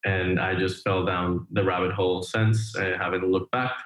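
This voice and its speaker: A man from Venezuela, an interview guest, telling his background in a halting way with many restarts and fillers. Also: low voice, little pitch movement, fairly bright tone, roomy sound, poor audio. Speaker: crypto wallet co-founder, guest. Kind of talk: conversational interview answers.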